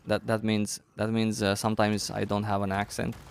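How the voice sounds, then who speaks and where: A man's voice: lowish voice, monotone, normal speed, gravelly, slight background noise. A young man with a Romanian accent chatting casually with viewers on a live game stream, thinking aloud as he answers chat.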